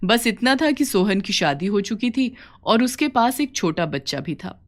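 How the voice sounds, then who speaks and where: Expressive woman narrating a story. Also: medium pitch, normal speed. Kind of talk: scripted story narration in present tense.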